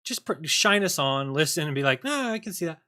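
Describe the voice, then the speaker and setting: A man's voice: fairly high pitch, brisk. A man hosting a call-in show, chatting casually with callers and making sarcastic jokes. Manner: animated, joking, sarcastic asides. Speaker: host.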